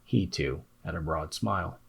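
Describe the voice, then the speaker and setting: A man's voice: low-pitched, moderate pace, creaky, breathy edge. A man reading scripted fantasy narration as an audiobook narrator.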